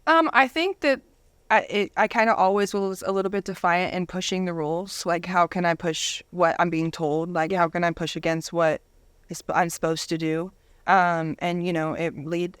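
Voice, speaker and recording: Smooth-voiced woman in her thirties, close dry sound. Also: fairly low pitch, no echo. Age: 30s. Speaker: interview guest. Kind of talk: candid personal testimony in casual chat.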